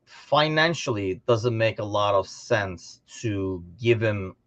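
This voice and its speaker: A man co-hosting a casual sports podcast, talking informally and thinking out loud as he discusses. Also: lowish voice, animated, takes it slowly, dull audio. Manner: informal, conversational, thinking aloud. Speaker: podcast co-host.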